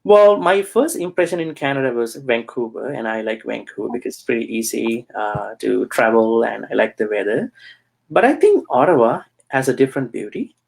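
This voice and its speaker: A man from Sri Lanka, a Buddhist monk, answering interview questions with many pauses and fillers. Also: medium pitch, expressive, vocal fry, husky, poor audio.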